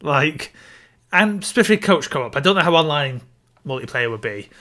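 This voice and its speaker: A man's voice: a little high, lively intonation, normal speed, pushed and bright. A man co-hosting a podcast, chatting casually about films and games. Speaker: podcast co-host.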